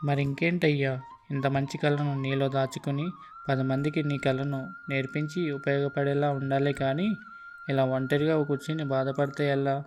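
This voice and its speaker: A man voicing a kindly, measured herdsman who gives advice in a children's cartoon. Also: medium pitch, little pitch movement, darkish tone, faint music behind, lightly acted. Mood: advising. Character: kindly advising male herdsman, calm helper. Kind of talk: children's cartoon story dubbing.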